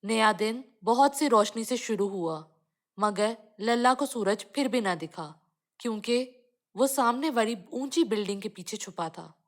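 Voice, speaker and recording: A woman's voice: on the high side, expressive, unhurried. A woman reading a scripted children's cartoon story as the narrator.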